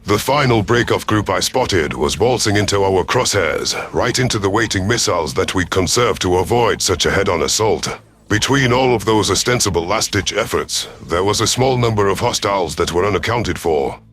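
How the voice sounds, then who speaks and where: A man narrating a scripted first-person science fiction story in a formal, deliberate storytelling manner. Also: on the low side, expressive, a bit bright.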